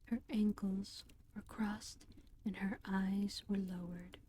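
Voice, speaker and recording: Woman, whispered story narration, very slow, mellow, soft steady hush, faint hiss. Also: medium pitch. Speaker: audiobook reader.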